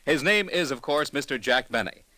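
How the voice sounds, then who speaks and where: A man's voice: medium pitch, expressive, moderate pace, pushed and bright, no echo. A man announcing a scripted old-time radio promo in a wry, polished manner. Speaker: network announcer. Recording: old dry radio recording with music.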